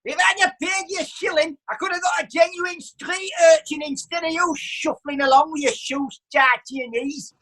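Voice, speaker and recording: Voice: high-pitched, steady tone, normal speed, hardly any pauses, sharp tone. An actor playing a cheeky, theatrical swindler standing as defendant in a courtroom play. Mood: furious, scornful. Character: defiant, cheeky swindler Horace Binks, down on his luck. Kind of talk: courtroom stage play acting.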